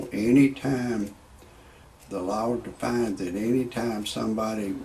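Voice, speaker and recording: A man's voice: on the low side, a little mellow, slight background noise. A man in his sixties, talking in a rambling way, repeating words as he goes, first with opinions and then with stand-up jokes. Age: 60s. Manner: rambling, repeats words while searching for a point. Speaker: video blogger.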